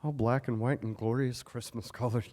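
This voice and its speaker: An actor playing a nostalgic man reliving his boyhood in an expressive scripted monologue performed live. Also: medium pitch, moderate pace, natural voice. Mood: nostalgic, delighted. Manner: expressive storytelling.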